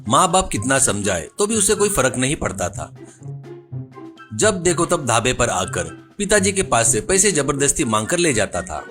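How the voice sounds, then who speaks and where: A man's voice: medium pitch, big pitch swings, normal speed, fairly bright tone, faint music behind. A man narrating a scripted moral story for a cartoon.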